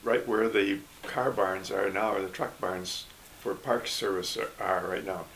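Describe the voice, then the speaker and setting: An older man from Michigan recalling his past in a relaxed interview, speaking haltingly with frequent restarts and small chuckles. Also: low-pitched, poor audio. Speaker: oral history interviewee. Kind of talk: casual recollection.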